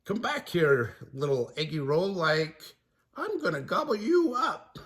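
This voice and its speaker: A man voicing a goofy, jittery, always-hungry young man in a high, squeaky voice that keeps saying "like". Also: very animated, a little slow, fairly bright tone.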